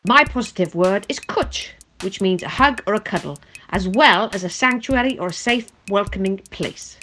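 A woman whose first language is Welsh introduces and explains a word in a friendly, simple manner. Her voice is bright and crisp, at a middle pitch. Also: expressive, normal speed, slight background noise. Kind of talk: short recorded word contribution.

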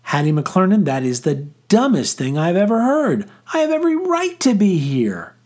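A man voicing a fiery fifteen-year-old girl in an audiobook, scornful and teasing, with sharp, snapping retorts. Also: sits high, wildly swooping pitch, moderate pace, pitched above the performer's own voice. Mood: indignant, scornful.

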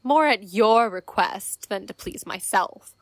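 A woman's voice: mid-range voice, lively intonation, slow pace, no echo. A woman playing a modest, obliging singing lord in a Shakespeare radio play, mild and a little reluctant.

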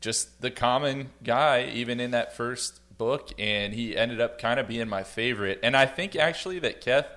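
A man's voice: mid-range voice, normal speed, hardly any pauses, fairly bright tone. A man on a podcast panel, discussing things in a casual, reflective way.

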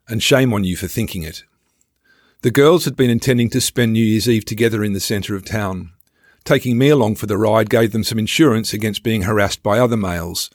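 A man reading a scripted first-person travel memoir as a podcast host, with wry, self-deprecating, measured storytelling in a studio on a close microphone. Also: medium pitch, no echo.

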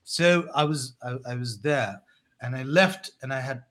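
A man in his thirties, born in Pakistan and raised in England, calmly recounting his past as a guest on an interview show, with frequent restarts. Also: medium pitch. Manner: reflective, measured, with many restarts. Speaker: guest, education company co-founder. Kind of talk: storytelling in a podcast interview.

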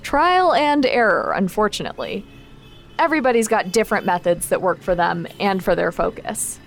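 An actress playing a caring but exacting magic trainer in a naturalistic audio drama, frank and instructive in manner. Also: female voice, mid-range voice, lively intonation, moderate pace, faint music behind, close to an everyday voice. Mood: focused, instructive. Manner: frank, instructive, gently concerned.

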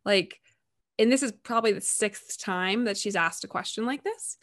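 A woman's voice: medium pitch, normal speed, a bit bright. A woman health coach talking in a casual conversation, chatty and full of "like" and "you know".

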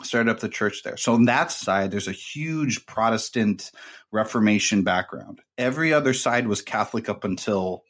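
A man's voice: lowish voice, lively intonation, moderate pace, fairly bright tone. A man hosting a podcast, telling a long family story in a rambling, casual way with many fillers. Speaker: podcast host. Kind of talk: casual conversation.

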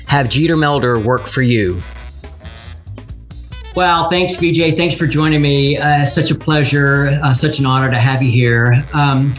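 A man's voice: mid-range voice, unhurried, soft background music. A man hosting a podcast, presenting and talking as an interviewer. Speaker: podcast host. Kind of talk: scripted intro and sponsor read, then casual interview.

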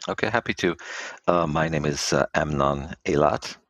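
Very deep-voiced man, halting and measured. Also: fairly bright tone, no echo, clean studio sound. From Israel. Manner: measured, halting, many fillers. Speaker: biotech quality expert guest. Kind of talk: podcast interview answers.

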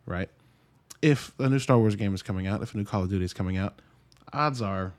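A man's voice: fairly low pitch, darkish tone. A man hosting a solo podcast, talking casually to listeners in a rambling, conversational way and often interrupting himself. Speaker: podcast host and reviewer of films and games.

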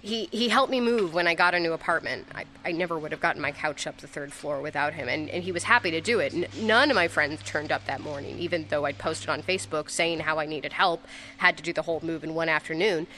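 A woman's voice: low voice, fast, bright, forceful tone. A young woman playing a flustered, grieving ex-girlfriend who stammers her way through a confessional memorial speech in an audio drama. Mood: sad, rueful. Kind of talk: audio drama monologue, naturalistic.

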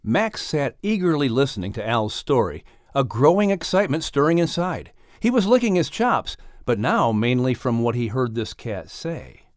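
A man narrating an audiobook story in an expressive, scripted storytelling style. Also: medium pitch, moderate pace, hardly any pauses, dry recording, studio quality.

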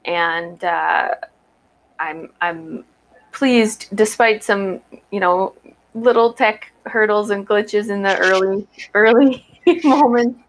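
A woman's voice: mid-range voice, slow pace, slight background noise, rough recording. A woman representing an industry council, talking casually on a video call and laughing often. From Canada. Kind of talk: casual chat. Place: home room with green screen.

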